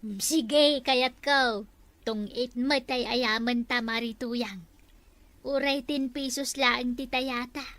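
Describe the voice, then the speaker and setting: A woman's voice: on the high side, fairly even intonation, takes it slowly, fairly bright tone. A woman with an Ilocano accent playing a female village councillor in a comedy drama. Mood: casual.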